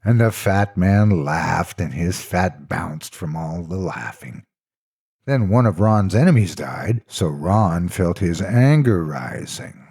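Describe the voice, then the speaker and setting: A man's voice: deep voice, animated, takes it slowly, gravelly, darkish tone, slightly rough. A man narrating a scripted story in a theatrical, mock-serious storytelling style. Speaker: narrator of horror stories.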